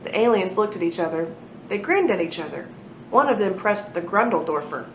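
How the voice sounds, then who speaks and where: A woman's voice: animated, brisk. A woman storyteller at a library reading a children's book aloud expressively. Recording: echoey room, muffled, noisy low rumble.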